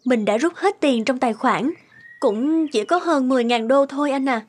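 A woman voicing a worried, anxious young wife in an animated moral tale. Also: sits high, moderate pace, soft background music, natural voice.

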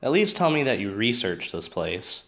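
A man's voice: fairly low pitch, sing-song, moderate pace, a bit bright, a little hiss or hum. A man playing a sarcastic, reluctant teenage boyfriend, with dry and skeptical delivery.

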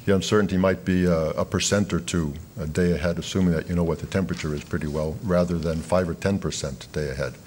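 A middle-aged man giving a keynote talk at a lectern in a large hall, speaking in a measured, explanatory way with fillers and restarts. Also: sits low, fairly even intonation, darkish tone, a little hiss or hum. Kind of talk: conference keynote lecture.

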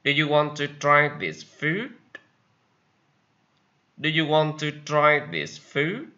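A Vietnamese-accented man teaching English words, saying each sentence slowly and more than once. His voice is bright and swings up and down in pitch. The recording sounds dull and lo-fi. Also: very animated. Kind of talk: slow vocabulary lesson with repetition.

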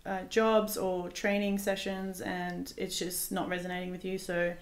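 A woman's voice: fairly low pitch, level tone, a little slow. A woman, chatting casually in an interview and often hesitating as she searches for words. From Australia and Israel. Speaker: guest, visual artist. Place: living room in a home. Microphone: desktop microphone on table.

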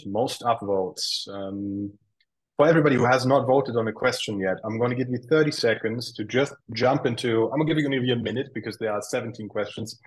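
A man's voice: medium pitch, slightly rough, rough recording. A man hosting and moderating a webinar, steadily asking questions and reading out audience questions.

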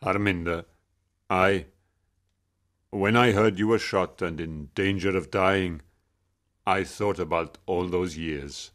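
A man playing a tender, wry middle-aged father in an audiobook, speaking sincerely and haltingly. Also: low-pitched, steady tone, a bit bright. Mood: tender, earnest. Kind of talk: dramatised audiobook dialogue.

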